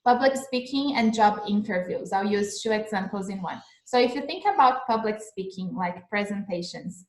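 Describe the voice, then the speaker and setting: A young Brazilian woman whose first language is Portuguese, giving a relaxed English lesson over a phone livestream. She talks in a chatty way, checking in with "right?" and "like", at a middle pitch. Her voice carries a roomy echo. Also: moderate pace. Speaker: English teacher.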